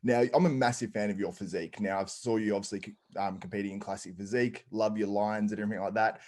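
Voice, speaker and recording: A man's voice: on the low side, steady tone, fast talker, a little mellow. A man hosting an interview show, asking long rambling questions full of hedges. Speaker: interview host. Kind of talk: podcast interview.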